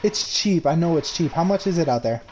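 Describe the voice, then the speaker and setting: A crude, boastful young man from New York trades stories over voice chat, stumbling and restarting as he goes. His voice sits a little high and sounds soft and mellow. A faint noise floor hums behind him. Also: animated, brisk, rough recording. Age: 20s. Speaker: gamer in voice chat. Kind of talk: casual chat.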